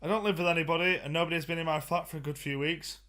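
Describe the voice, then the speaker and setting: A young man from the UK co-hosting a casual podcast at home in his flat, loud and full of swearing, a little tipsy. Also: on the high side, racing. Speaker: podcast co-host. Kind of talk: crude casual banter. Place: flat, indoors.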